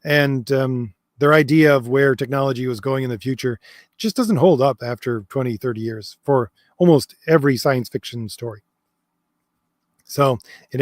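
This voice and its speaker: A man's voice: medium pitch, no room sound, studio quality. A man hosting a long chat stream, hesitant and full of fillers, who says he is losing his voice. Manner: hesitant, many fillers and restarts, reads comments aloud. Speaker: host answering viewer comments. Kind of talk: casual livestream chat.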